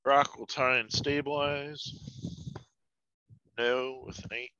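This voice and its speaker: A man's voice: mid-range voice, steady tone, slow, bright, forceful tone. A man chatting casually as a player in a tabletop game, on a dull lo-fi mic.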